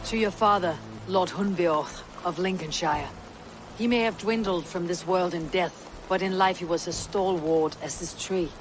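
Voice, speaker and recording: A woman playing a stoic, battle-hardened Viking warrior in a video game, speaking in a husky, measured and grave way. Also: on the low side, faint music behind, close to an everyday voice, rough recording. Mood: solemn. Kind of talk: dramatic video game acting.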